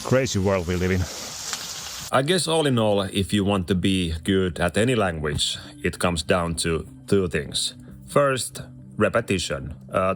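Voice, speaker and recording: A man with a Finnish accent vlogging casually outdoors in English, playful and self-mocking, laughing often. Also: low voice. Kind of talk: casual vlog chat. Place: outdoors by the water.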